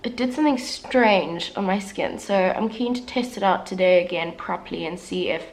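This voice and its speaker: A woman's voice: mid-range voice, normal speed. Congested young woman chatting through makeup. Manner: chatty, candid, self-deprecating asides. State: congested, recovering from flu. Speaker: beauty vlogger. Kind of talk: casual makeup tutorial chat.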